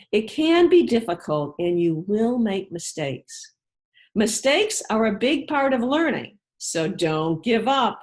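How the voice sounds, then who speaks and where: A woman author reading aloud and talking directly to children in an encouraging, instructive way with deliberate, emphatic phrasing. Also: lowish voice, darkish tone.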